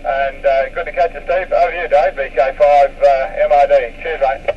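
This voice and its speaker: A man's voice: medium pitch, flat delivery, moderate pace. An Australian man chatting casually as an amateur radio operator, exchanging callsigns over FM radio. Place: mobile in a vehicle, heard over radio. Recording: muffled, noisy, distant FM radio transmission.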